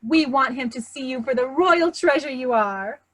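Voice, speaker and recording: A woman's voice: high voice, expressive, normal speed. A woman playing a haughty, imperious stepmother who scolds and coos in a children's theatre staged reading. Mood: doting, fussy. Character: haughty, bossy stepmother, doting on her favourite daughter.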